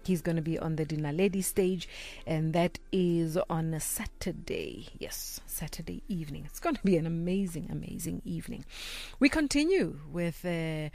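A woman's voice: sits low, lively intonation, moderate pace, vocal fry, mellow, soft background music. A woman hosting a radio interview, encouraging her guest as she asks questions. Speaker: radio host.

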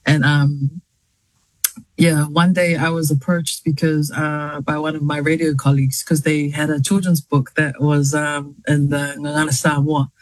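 A woman's voice: low voice, little pitch movement, unhurried, dry recording, rough recording. A woman in her thirties with a New Zealand accent, a journalist chatting as a guest on a talk show, full of fillers and easy laughs. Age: 30s. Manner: chatty, laughs often, many fillers and restarts. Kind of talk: casual interview chat.

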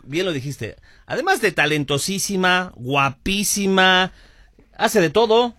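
A man's voice: high voice, lively intonation, measured pace, pushed and bright, no echo, clean studio sound. A man with a Mexican accent hosting a radio show with playful, effusive, joking chat. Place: radio studio booth.

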